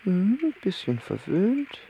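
A curious, whimsical gossip in a radio drama. The voice is high and crackly, rising and falling freely in conspiratorial chat. Faint noise sits behind it. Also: male voice, wildly swooping pitch, slow pace, vocal fry, dull audio, full character voice. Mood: curious, amused. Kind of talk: German radio play dialogue. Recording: faint background noise and ambience.

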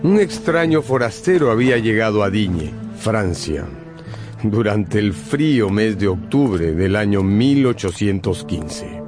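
Male story narrator: lowish pitch, animated dramatic delivery of scripted radio drama, music underneath. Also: measured pace.

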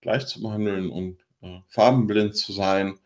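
A man co-hosting a podcast, commenting on news in a halting way as he reads from his notes. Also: on the low side, steady tone. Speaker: podcast co-host.

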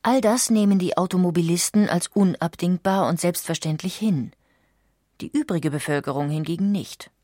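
A woman reading scripted documentary narration in a measured, factual way. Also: lowish voice, warm tone, no echo, studio quality.

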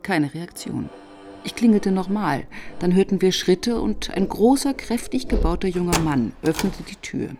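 An actress playing a police inspector who narrates her case in a sober, measured way for a radio crime drama. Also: fairly low pitch, animated, music in the background. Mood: sober, tense.

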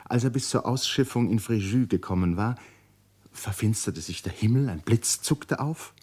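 Male narrator, literary radio drama, deep register, breathy husky tone, serious, measured. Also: a little mellow.

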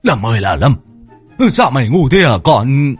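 A man voicing a reckless, cursing old male master with a rough, hoarse voice. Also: medium pitch, big pitch swings, moderate pace, faint music behind. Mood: grumbling. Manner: bellowing and grumbling curses.

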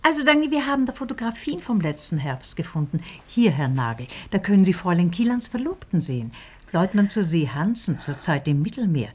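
An actress playing a chatty, sympathetic married hostess in a German radio play, speaking expressively. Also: female voice, lowish voice, big pitch swings, a little fast, a little mellow, husky.

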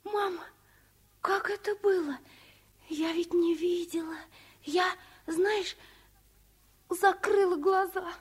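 A woman's voice: extremely high pitch, fairly even intonation, slow, long pauses. An actress playing an eager, inquisitive, impressionable little girl, childlike and insistent, in a Soviet radio play. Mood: hushed.

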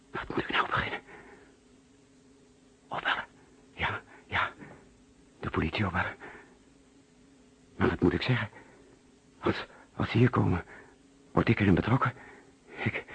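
A man's voice: mid-range voice, breathy edge. An actor with a Netherlands Dutch accent playing an anxious, hesitant businessman who narrates and mutters to himself in a radio play. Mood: shaken, panicky. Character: anxious middle-class businessman recounting finding a murdered man. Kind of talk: radio play acting with first-person narration. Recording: muffled, noisy old radio play recording.

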